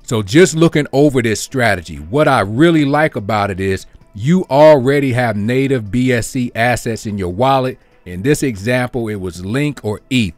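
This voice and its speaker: A man hosting his own channel, explaining an investing strategy step by step over a screen recording in a steady, explanatory way. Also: medium pitch, moderate pace, hardly any pauses, no room sound, soft background music. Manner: steady, explanatory, walks through figures step by step. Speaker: crypto channel host. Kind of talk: screen-share walkthrough narration.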